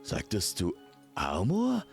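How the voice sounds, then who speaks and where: A man's voice: on the low side, huge pitch swings, takes it slowly, gravelly, faint music behind, full character voice. A man playing an indignant, commanding king and father in a fairy-tale audio drama. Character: angry, blustering royal father and king.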